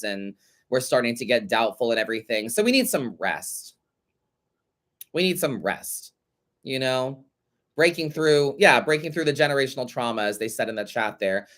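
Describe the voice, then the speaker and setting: A man with a stuffy, blocked-up nose hosts a relaxed tarot advice show. He talks to listeners in a loose, chatty way, calls them babe and honey, and lets the occasional curse word slip. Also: mid-range voice. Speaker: tarot reader and show host. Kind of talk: casual advice reading of listener questions.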